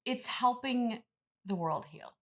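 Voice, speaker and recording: A woman playing a chipper, gently condescending wellness influencer in an improvised comedy interview. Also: on the high side, animated, slow. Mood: sanctimonious. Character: chipper, sanctimonious female wellness influencer peddling quack products.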